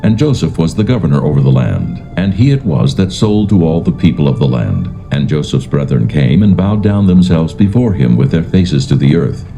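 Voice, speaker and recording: A man narrating dramatised scripture in a solemn, measured, formal manner. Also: rumbling low, big pitch swings, vocal fry, warm tone. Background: faint music.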